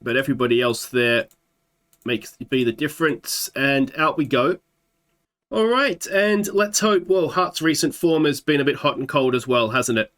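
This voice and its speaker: A man's voice: medium pitch, normal speed, a bit bright. Chatty Australian man with a sore throat. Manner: chatty, thinks aloud with fillers. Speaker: gaming YouTuber. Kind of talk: let's play commentary.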